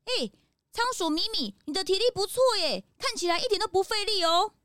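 Lady doing a curious chatty kid sidekick, high voice, close dry sound, kids mystery drama. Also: lively intonation, brisk, sharp tone, no room sound, heavily acted. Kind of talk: children's mystery audio drama.

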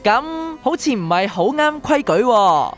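A woman's voice: mid-range voice, sing-song, a little slow. A woman playing a polite, evasive memory doctor in a radio drama, formal and deferential, with a Hong Kong Cantonese accent. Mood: politely wary. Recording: music bed behind the voice.

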